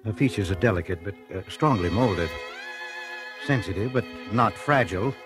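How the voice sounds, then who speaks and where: An actor playing a meek, nervous husband with a hesitant, stammering delivery in 1940s radio drama style. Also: male voice, fairly low pitch, animated, normal speed, close to an everyday voice. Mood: flustered, nervous. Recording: 1940s radio recording with music.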